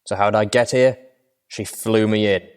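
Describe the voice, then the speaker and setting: A man's voice: fairly low pitch, fairly even intonation, measured pace, clear, resonant tone, lightly acted. A man voicing a menacing male pony guard, a former merchant, who growls his warnings. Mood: threatening.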